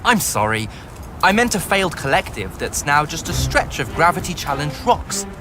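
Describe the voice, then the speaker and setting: Pompous, glib mage with swooping delivery. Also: male voice, a little high, big pitch swings, moderate pace, over music. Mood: mocking, sarcastic. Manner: pompous, verbose, sarcastic. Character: glib, pompous, witty male battle mage. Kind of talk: video game cutscene acting.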